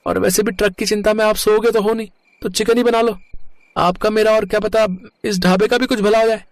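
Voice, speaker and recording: A man's voice: sits high, fairly even intonation, a little fast, dry recording. A man voicing a cheeky, eager truck helper with a coaxing, slangy way of talking in a moral story cartoon. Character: cheeky, hungry young male truck helper, eager sidekick. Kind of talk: animated moral story dialogue.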